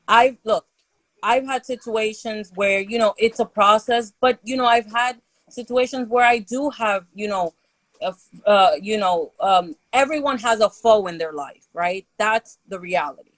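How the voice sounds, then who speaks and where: A woman's voice: medium pitch, measured pace, no room sound, poor audio. A woman co-hosting a podcast, talking in a laid-back, reassuring way with many fillers. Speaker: podcast co-host. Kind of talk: casual chat.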